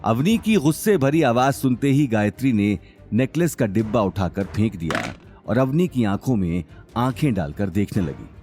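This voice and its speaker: A male narrator tells a scripted dramatic story. His voice is fairly low and very animated in pitch, with faint music behind it. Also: moderate pace.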